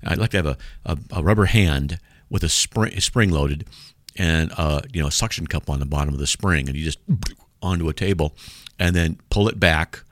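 A man with a deep voice hosting a call-in radio show, joking and sarcastic as he reads out mail. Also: moderate pace, no room sound, clean studio sound.

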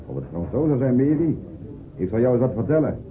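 An actor in a radio play playing a pompous, commanding village leader and sheep farmer. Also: male voice, medium pitch, animated, fast talker, close to an everyday voice. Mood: scornful. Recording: tinny, noisy old radio play recording.

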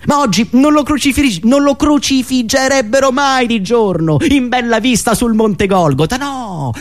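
A man delivering a theatrical political monologue in a dramatic, emphatic manner, with deliberate pauses. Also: very high voice. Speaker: satirical stage performer and radio host.

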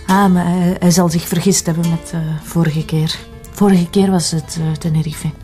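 An actress playing an evasive, nervous accomplice in an audio drama, hesitant and defensive, with a Flemish accent. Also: female voice, on the low side, little pitch movement, normal speed, dark tone, soft background music, lightly acted. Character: evasive, nervous young female accomplice under interrogation.

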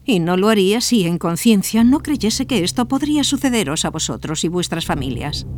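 An actress playing a schoolteacher who speaks gravely and carefully to her pupils in a radio drama. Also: medium pitch, very animated, darkish tone, slight background noise, natural voice. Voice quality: grave, measured. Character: earnest female schoolteacher, serious and troubled. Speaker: teacher.

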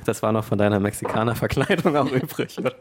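A man's voice: medium pitch, fast talker, a little hiss or hum. A young man co-hosting a podcast, throwing in ironic, mocking jokes. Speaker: podcast co-host. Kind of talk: casual banter.

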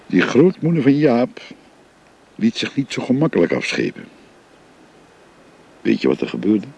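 An actor playing an older father who gently confides his family history to a young woman, in a radio drama. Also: mid-range voice, huge pitch swings, unhurried, vocal fry, slightly rough, dull audio, full character voice. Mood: gentle, confiding. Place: recorded on location.

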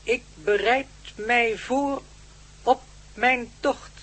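An actor playing a solemn, gentle alien in a radio play, speaking in formal, measured declarations. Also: mid-range voice, steady tone, slightly rough, heavily acted. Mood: solemn, resolute. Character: Jack, solemn gentle alien being of plant-like race.

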